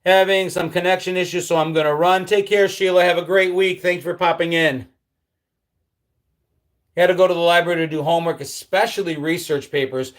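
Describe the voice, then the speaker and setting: A man's voice: high voice, fairly even intonation, a little fast. A middle-aged man from upstate New York hosting a casual live stream, reading viewer comments and reminiscing about his childhood in an easygoing, nostalgic way. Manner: reads viewer comments aloud and answers them; nostalgic, easygoing, with frequent ums and trailing drops. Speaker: livestream host.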